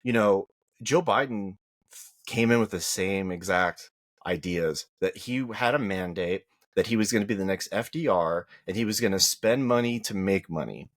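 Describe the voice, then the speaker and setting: A man's voice: moderate pace, dry recording, studio quality. A man co-hosting a podcast, talking casually about politics with a slightly whiny voice, firing off rhetorical questions as he argues. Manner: animated, rising to high rhetorical questions when arguing. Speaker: podcast co-host.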